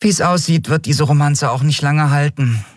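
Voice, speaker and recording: Man voicing a high, cheeky little winged female creature. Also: sing-song, moderate pace, no room sound, studio quality. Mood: gossipy, wry. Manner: playful, teasing, boastful. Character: tiny winged female creature, cheeky, proud, teasing card champion. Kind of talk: audiobook character voice.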